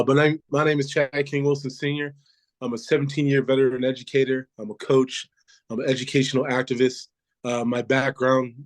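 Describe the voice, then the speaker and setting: A man with a congested voice from a cold answers interview questions earnestly, filling his pauses with ums and uhs. His voice sits at a middling pitch. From Pittsburgh, Pennsylvania. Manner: earnest, with frequent fillers. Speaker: school board candidate.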